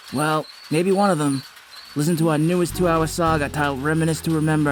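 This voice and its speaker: A man's voice: fairly high pitch, a little hiss or hum. A man announcing a scripted, joking advertisement for a podcast. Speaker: promo announcer.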